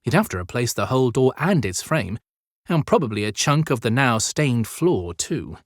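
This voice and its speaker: A man narrating an audiobook story in a measured, descriptive storytelling style. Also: medium pitch, sing-song, dry recording, clean studio sound. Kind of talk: scripted dramatic storytelling narration.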